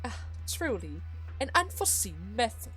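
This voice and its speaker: A woman voicing a manipulative older male duke in a dramatic game story, speaking in a measured, formal and condescending way. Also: a little high, big pitch swings, a bit bright, faint music behind, rough recording. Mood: probing, composed. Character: scheming older male duke, coolly manipulative adoptive father.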